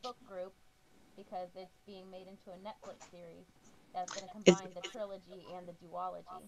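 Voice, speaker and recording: A woman's voice: lowish voice, little pitch movement, fairly quick, vocal fry, a little mellow, rough recording. A woman in a book club chatting informally and casually about reading.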